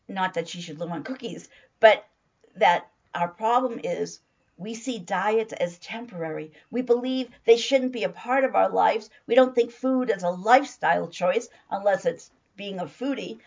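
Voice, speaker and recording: A middle-aged woman with a hoarse voice, giving an emphatic motivational talk as a weight-loss coach and repeating phrases for stress. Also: mid-range voice, normal speed, lo-fi sound.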